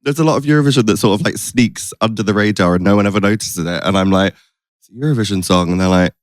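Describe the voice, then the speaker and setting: A man's voice: fairly low pitch, fast talker, dry recording, studio quality. A British man hosting a podcast in casual chat, with playful, campy banter. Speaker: podcast host.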